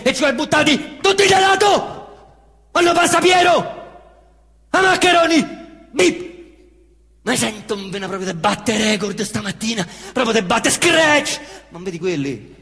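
A middle-aged man performing a theatrical monologue on stage, swinging between hushed mutters and loud excited outbursts while mimicking engine noises. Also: extremely high pitch, normal speed, long reverb tail. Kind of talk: theatrical monologue in Roman dialect.

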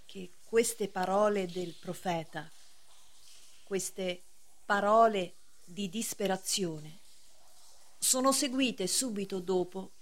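An actress playing a solemn, severe preacher in a radio play, speaking in a measured, declamatory way. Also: female voice, medium pitch, natural voice. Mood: solemn preaching. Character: solemn, severe female preacher, cryptic gatekeeper of justice. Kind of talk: theatrical radio drama acting.

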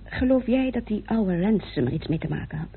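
Very husky, insistent woman. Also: medium pitch, expressive, a little fast. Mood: insistent, questioning. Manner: coaxing, insistent. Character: caring, argumentative woman reasoning with her troubled partner. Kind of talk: old Dutch radio drama acting. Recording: muffled tinny old radio recording.

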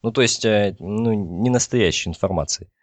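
A man's voice: lowish voice, normal speed, no echo. A man explaining things in an informal technical discussion, feeling his way through and checking that listeners follow. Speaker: podcast guest explaining telecom technology.

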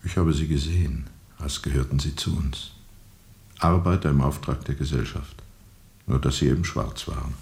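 A man acting a brooding, haunted steamboat captain in a radio drama, grave and measured, often sinking toward a whisper. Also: extremely low pitch, steady tone, gravelly, darkish tone. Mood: grave, bitter. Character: brooding, haunted steamboat captain recalling a colonial river journey.

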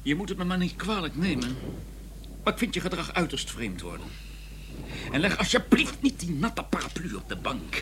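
A prim, learned gentleman in a radio play, telling his tale and sparring in formal speech. His delivery is dry, sarcastic and exasperated, with animated rises and falls. A faint noisy hum sits on the recording. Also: on the high side, moderate pace. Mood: dry irritation. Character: prim, exasperated English scholar, a doctor, narrating his journey. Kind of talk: radio drama acting and first-person narration.

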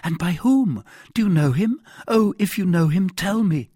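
A man's voice: high voice, animated, normal speed, dark tone, husky, no room sound, studio quality, pitched above the performer's own voice. A man voicing an anxious, pleading young married woman in an audiobook, with eager, formal old-fashioned speech. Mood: agitated, urgent. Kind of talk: audiobook character voice.

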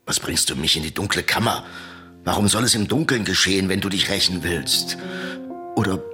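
An actor playing a haughty, doomed middle-aged usurper king in a radio play of Greek tragedy, in gravelly, declamatory verse. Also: low voice, moderate pace, sharp tone, soft background music. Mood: bitter, defiant. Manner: theatrical, declamatory verse delivery. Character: doomed usurper king, haughty, horrified, stalling before death.

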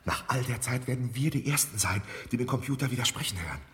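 A man playing a solemn, reverent keeper in a radio drama, portentous and halting with awe. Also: mid-range voice, lively intonation, fairly bright tone, natural voice. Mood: solemn pride. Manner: weighty, portentous, halting when awed. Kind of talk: German radio drama acting. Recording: long hall reverb.

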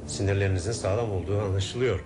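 A man acting in a radio play as a calm, courteous investigator who speaks in a polite, deliberate, probing way. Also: deep voice, over music, lightly acted.

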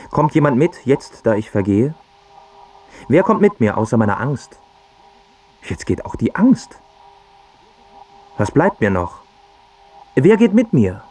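An actor playing a confused, dying man with failing memory, husky and stubbornly repetitive, in a German radio drama. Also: fairly low pitch, dark tone, heavily acted.

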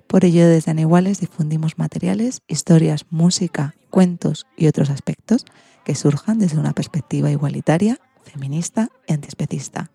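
A woman's voice: sits low, normal speed, gravelly, dark tone, slightly rough, dry recording. A woman with an accent from Spain hosting a children's radio programme, partly reading from a script.

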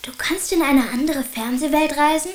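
A woman's voice: high voice, moderate pace, roomy sound, lightly acted. A woman playing an earnest, curious and stubborn young boy with a childlike, questioning way of talking in a radio play.

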